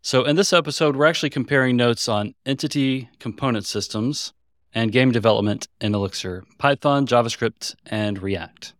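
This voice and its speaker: Casting: male podcast host, medium pitch, dry sound, faint music, easy introductions and questions. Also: normal speed, dry recording. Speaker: podcast co-host, senior developer. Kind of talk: scripted intro and casual interviewing.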